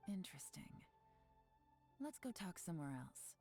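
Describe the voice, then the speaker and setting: A low-voiced, mellow female investigator in a video game, with music behind her. She is cool, confident and shrewd, speaking in a measured, knowing way. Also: sing-song, breathy edge, full character voice. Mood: intrigued.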